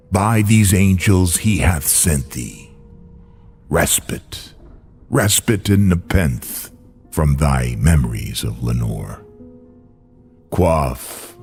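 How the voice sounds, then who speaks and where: A man reciting gothic horror verse as a radio story narrator, with theatrical, brooding, deliberate phrasing. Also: a bass voice, a little mellow. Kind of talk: dramatic gothic poetry recitation. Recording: music bed under the voice. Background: background music.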